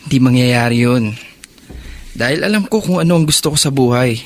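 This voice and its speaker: A man's voice: medium pitch, expressive, fairly quick, close to an everyday voice. An actor playing a stern, worried young man, the responsible older brother, in earnest radio drama style. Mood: troubled, earnest. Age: young adult. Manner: scolding and earnest. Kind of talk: Filipino radio drama acting.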